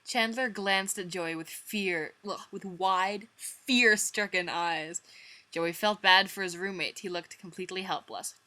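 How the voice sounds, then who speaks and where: A woman's voice: medium pitch, measured pace, sharp tone. A young woman reading a story dramatically, theatrical and self-mocking, with wry asides. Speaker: vlogger reading own old fan fiction.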